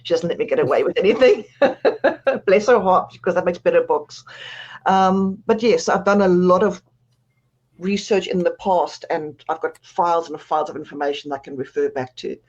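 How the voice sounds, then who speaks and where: A woman's voice: lowish voice, normal speed, a little mellow, highs cut off. A woman with a South African accent, speaking as an author guest on a radio interview, chatty and self-deprecating, laughing often.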